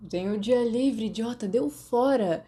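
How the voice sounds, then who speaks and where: Woman voicing a cheeky, lazy teenage boy, mellow. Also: on the high side, a little slow, voice pushed up, lightly acted. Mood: groggy, grumpy. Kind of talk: audiobook character dialogue.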